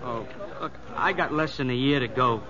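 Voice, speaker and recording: An actor playing a timid, submissive, self-pitying convict in a 1950s radio drama, meek and pleading. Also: male voice, mid-range voice, normal speed, a crowd in the background, close to an everyday voice. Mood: pleading, despairing. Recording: muffled, noisy vintage radio broadcast.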